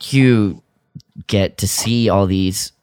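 A man co-hosting a casual podcast discussion, talking in a halting way as he thinks aloud. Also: fairly low pitch, a little mellow, smooth. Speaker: podcast co-host.

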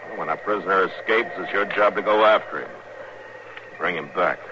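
Deep, laconic American frontier marshal played by an actor. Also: male voice, fairly quick, natural voice. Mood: calm, firm. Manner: laconic, matter-of-fact. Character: stern, steady middle-aged US marshal of the Old West. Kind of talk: 1950s radio western acting. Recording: muffled, noisy 1950s radio recording.

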